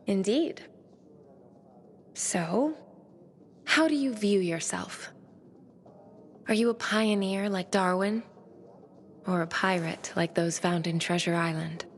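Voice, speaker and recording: An actress playing a shy, dreamy, bookish young woman who speaks softly and wistfully, often half-whispering. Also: medium pitch, slow pace, long pauses, a little hiss or hum.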